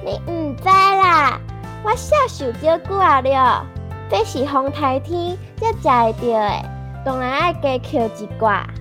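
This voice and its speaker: An eager, curious little girl acted by a woman for a children's radio drama. She is high and bright, exclaims readily and takes her time over the words. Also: animated, a little slow, soft background music, heavily acted. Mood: confident. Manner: exclaiming, wide-eyed.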